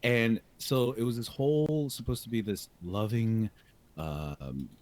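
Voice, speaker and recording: A man, co-hosting a casual podcast, telling a rambling story full of "like" fillers and quick laughs. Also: medium pitch. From United States. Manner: animated, rambling, laughs often, many "like" fillers. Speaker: podcast co-host.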